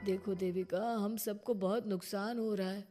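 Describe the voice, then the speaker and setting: A woman's voice: mid-range voice, steady tone, normal speed, dark tone, faint music behind, lightly acted. A woman voicing a meek, conciliatory young husband in a cartoon moral story, speaking earnestly and pleadingly.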